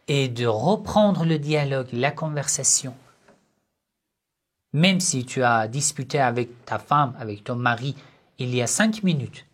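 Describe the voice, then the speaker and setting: A man giving a religious lesson on family life, speaking in short, measured phrases and asking rhetorical questions that he then answers himself. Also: a little high. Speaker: religious teacher.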